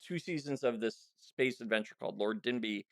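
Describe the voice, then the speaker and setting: Lower-pitched man, podcast host, casual chat, candid, self-deprecating, dry recording. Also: normal speed, creaky, dry recording. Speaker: podcast host and audio drama writer.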